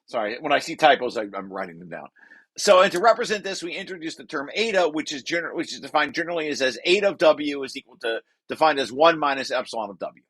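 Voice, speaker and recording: Bright-voiced man explaining a technical paper. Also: a little high, animated, fast talker, slightly rough, dry recording. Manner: explanatory, often breaking off to correct and rephrase. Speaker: author reading and explaining a research paper draft. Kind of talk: read-through lecture with informal asides.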